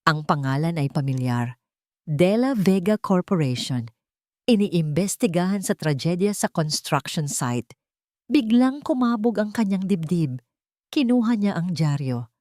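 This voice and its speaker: Low-voiced woman narrating a dramatic story. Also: very animated, a little slow, darkish tone, no echo, clean studio sound.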